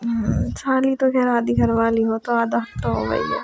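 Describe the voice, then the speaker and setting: A woman's voice: fairly high pitch, level tone, creaky. A woman playing a coy, playful sister-in-law who flirts and teases in casual talk on a phone call. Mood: coy, flirty. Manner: coy, teasing, drawn-out short replies.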